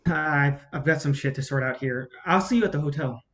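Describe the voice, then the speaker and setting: An actor in a staged reading playing a blunt, defiant film campaign colleague who talks insistently. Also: male voice, a little high, a little fast, a bit bright, natural voice. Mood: subdued.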